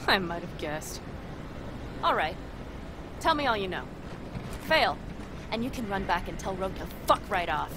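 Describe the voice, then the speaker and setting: Game role: female actor as fiery young woman merc driver, blunt, combative, very expressive, noisy road ambience. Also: mid-range voice, moderate pace, long pauses, natural voice. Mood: irritated, defiant. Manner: blunt, foul-mouthed, combative. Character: hot-headed, proud young woman mercenary driver. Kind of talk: naturalistic video game acting. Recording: vehicle and outdoor ambience noise behind.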